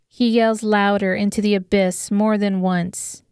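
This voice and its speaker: Female audiobook narrator, unhurried and smooth, dry close sound, scripted dramatic storytelling. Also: mid-range voice, little pitch movement, darkish tone, no echo.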